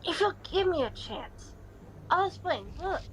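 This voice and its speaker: A woman's voice: very high voice, big pitch swings, unhurried, fairly bright tone, a little hiss or hum, full character voice. A woman playing an earnest, knowing male professor wizard in an audio drama, with theatrical delivery. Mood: urgent, reasoning. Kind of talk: fan audio drama acting.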